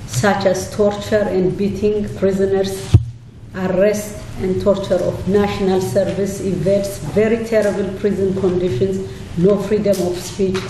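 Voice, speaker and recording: A middle-aged woman, a panelist giving a slow, halting personal testimony and partly reading from notes. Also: mid-range voice. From Eritrea, raised in Ethiopia. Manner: halting, deliberate, with many pauses. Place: church hall. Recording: echoey hall from a distant mic, noisy.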